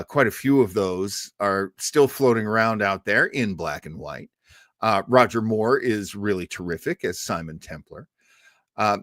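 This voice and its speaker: Male host, mid-pitched and very animated, emphatic storyteller delivery, casual live introduction, dry room sound. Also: no echo. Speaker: host of a radio listening party. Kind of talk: casual live introduction to an old radio show.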